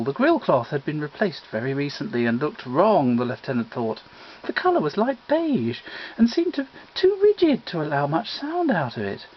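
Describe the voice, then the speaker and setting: A man's voice: on the high side, slight background noise. A man reading a comic mystery story aloud as scripted narration. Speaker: story reader. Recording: dull lo-fi home recording.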